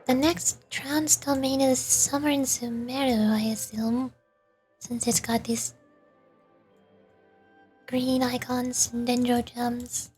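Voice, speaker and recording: A woman's voice: on the high side, little pitch movement, slow pace, long pauses, darkish tone, soft background music. A woman livestreaming a video game, commenting casually and thinking aloud as she plays. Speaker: streamer, VTuber.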